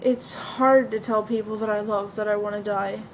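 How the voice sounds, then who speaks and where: A woman performing an amateur stage monologue as a depressed young woman, confiding earnestly and deliberately, recorded on an iPod. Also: mid-range voice, fairly even intonation, a little hiss or hum, natural voice. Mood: resigned, anguished.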